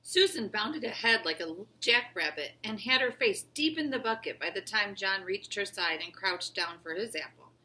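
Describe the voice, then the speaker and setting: A woman's voice: mid-range voice, sharp tone, low-quality recording. A woman reading a children's storybook aloud as a narrator.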